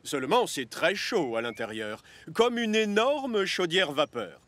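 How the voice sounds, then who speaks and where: A man voicing a boastful, excitable steam locomotive with an emphatic, theatrical manner in a French-dubbed children's cartoon. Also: high voice, a little slow, no room sound, full character voice. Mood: self-important. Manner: theatrical, emphatic, self-important. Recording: loud music behind the voice.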